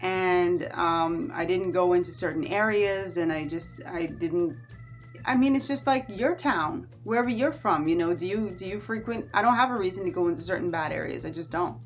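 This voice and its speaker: A woman from the Bahamas with a Bahamian accent, chatting to camera on her vlog in a lively, animated way. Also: lowish voice, normal speed, faint music behind. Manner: chatty and animated, with warm asides. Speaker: vlogger. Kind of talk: casual chat to camera.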